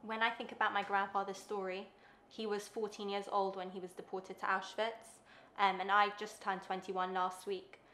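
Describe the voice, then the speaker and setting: A woman's voice: mid-range voice, flat delivery, moderate pace, sharp tone, a little hiss or hum. A woman in her twenties interviewing her grandfather, speaking earnestly with frequent ums, in a living room. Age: 20s. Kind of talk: family interview. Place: bright living room, seated on sofa. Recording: roomy echo.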